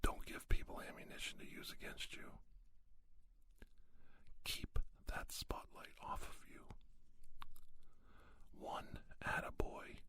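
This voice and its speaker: A man's voice: takes it slowly, pauses often, sharp tone. Whispering man, ASMR host. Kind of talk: whispered ASMR monologue, folksy advice and anecdotes.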